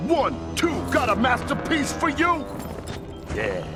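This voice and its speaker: Loudmouth rapper bear bad guy, high voice, swaggering game villain, swoopy rhymes. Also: wildly swooping pitch, slow, exaggerated voice. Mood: cocky, impatient. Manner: swaggering, rhyming street slang. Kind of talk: animated video game dialogue. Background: music.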